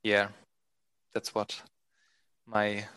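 Bright, smooth-voiced musician. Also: male voice, fairly low pitch, drawn-out words. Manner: relaxed, rambling, many fillers. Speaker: band musician. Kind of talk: interview answers.

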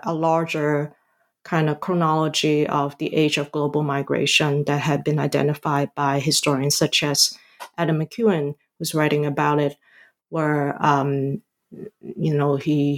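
Slow, low-voiced hesitant woman historian. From Hong Kong. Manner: halting, many ums and restarts. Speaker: guest author, historian. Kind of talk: hesitant interview answers.

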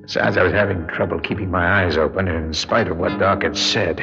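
A man's voice: low-pitched, steady tone, fairly quick, gravelly. An actor playing a nervy, guilt-ridden burglar who tells his crime in a hard-boiled radio drama style. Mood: tense, brooding. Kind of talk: radio drama acting with first-person noir narration. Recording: muffled vintage radio recording, music behind.

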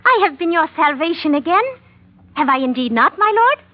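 A tinny vintage radio recording has a young woman with a very high voice. She is proud and devoted, speaking in stiff, formal, old-fashioned phrases as the native heroine of an exotic adventure serial. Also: animated, normal speed. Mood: proud, solicitous. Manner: formal, stilted, archaic phrasing. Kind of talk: old-time radio drama acting.

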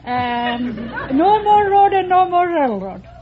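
A woman's voice: very high-pitched, big pitch swings, slow pace, crowd noise. A woman from northwestern Minnesota, a quiz contestant giving shy short answers. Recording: dull old radio broadcast.

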